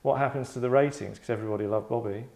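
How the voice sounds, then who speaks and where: Man, medium-pitched voice, sardonic, rambling host, mock-dramatic, casual explaining to a group. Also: racing, vocal fry, mellow. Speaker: host directing an actors' table read. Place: London.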